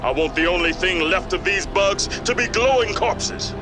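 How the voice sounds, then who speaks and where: A man voicing a ruthless video game army general who barks his orders at a raised, strained pitch. Game music plays behind him. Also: moderate pace, lightly acted. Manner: shouting furious commands.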